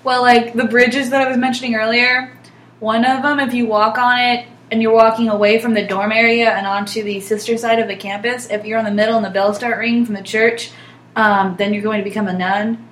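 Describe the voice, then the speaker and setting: A woman's voice: on the high side, level tone, brisk, a bit bright. Young woman chatting in an echoey room. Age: young adult. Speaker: podcast co-host or guest. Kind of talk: casual chat. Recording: roomy echo, faint background noise.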